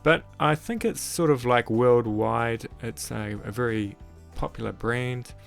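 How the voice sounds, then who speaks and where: A man with a croaky, gravelly voice narrates a woodcarving tutorial as a voice-over. He talks in a relaxed, rambling way, full of "sort of, like", at a middling pitch. Faint music plays underneath. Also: a little slow. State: croaky voice after illness. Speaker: woodcarving channel host.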